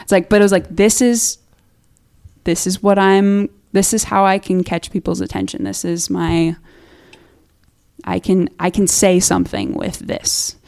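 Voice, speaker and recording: A woman's voice: medium pitch, flat delivery, a little slow, gravelly, a little mellow. A young woman from Minnesota, a singer-songwriter chatting casually as a studio interview guest, restarting sentences and laughing at herself. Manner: chatty, restarts often, self-deprecating laughs.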